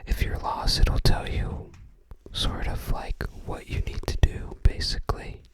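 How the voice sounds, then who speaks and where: An ASMR host speaking entirely in a breathy whisper, gently musing aloud in unscripted commentary with trailing phrases. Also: a little slow. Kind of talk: ASMR, unscripted commentary while browsing a game guide book.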